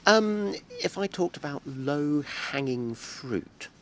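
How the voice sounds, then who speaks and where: A man hosting a casual business-English lesson, native to the language. He explains words with simple everyday examples and laughs easily. His speech swings widely in pitch, with a faint hum of room ambience behind him. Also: animated, slow. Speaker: English teacher and host. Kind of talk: casual teaching interview.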